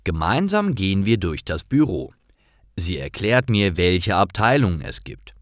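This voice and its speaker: A man reading scripted stories for language learners as narrator, in simple, clearly phrased storytelling. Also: low-pitched, sing-song, measured pace, dry recording, clean studio sound.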